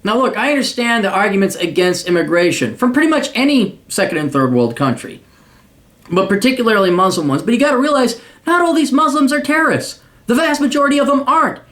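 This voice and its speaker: A man with a high-pitched voice rants his advice straight at the listener. He is blunt and crude, swears freely, and mocks the person he addresses while lecturing them on how the world works. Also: animated, fast talker, fairly bright tone. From United States. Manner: profane, mocking, lecturing. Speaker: podcast host giving paid advice.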